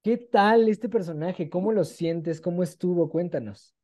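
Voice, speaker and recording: A man's voice: high voice, a little slow, mellow, dull audio. A man in his twenties with a Mexican accent, interviewing casually and enthusiastically over a video call. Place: small room at home, on a video call.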